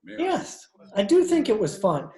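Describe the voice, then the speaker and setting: A man's voice: high voice, wildly swooping pitch, measured pace, mellow, exaggerated voice. A man playing a genteel, gallant, world-weary judge in an amateur old-time radio play. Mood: tender. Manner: genteel, formal. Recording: roomy echo, online call recording.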